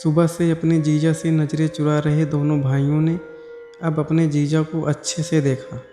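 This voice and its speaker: Male storyteller, higher-pitched and mellow, reading scripted narration with little pitch movement. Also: flat delivery, moderate pace. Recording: echoey hall-like reverb over music. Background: background music.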